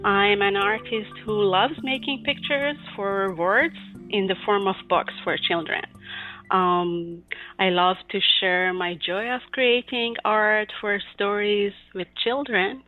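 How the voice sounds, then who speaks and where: A woman's voice: medium pitch, fairly even intonation, soft background music. Iranian-born woman on a tinny line. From Iran. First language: Persian. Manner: warm, giggly, halting with many fillers. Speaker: guest illustrator. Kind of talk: casual interview.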